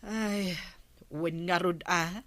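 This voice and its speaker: An actress playing a stern, reproachful village captain's wife in an Ilocano radio comedy drama. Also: female voice, lowish voice, steady tone, extremely slow, fairly bright tone. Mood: resolved. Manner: questioning, reproachful.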